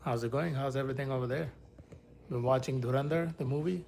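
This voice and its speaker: A man from India hosts a relaxed livestream, rambling to viewers in an easy, middle-pitched voice. He talks loosely, with many restarts. A faint hiss of background noise sits under the voice. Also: quick pace, creaky. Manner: rambling, shifts to soft whispers near sleeping baby. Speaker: livestream host. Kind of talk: casual livestream chat.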